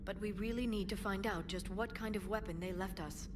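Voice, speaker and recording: Actress as thoughtful alien scholar, slightly distant. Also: female voice, mid-range voice, fairly quick, close to an everyday voice. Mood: concerned. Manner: thoughtful, precise, dryly understated. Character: earnest, intellectual female alien information broker. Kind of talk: video game cutscene acting. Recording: distant, noisy game mix.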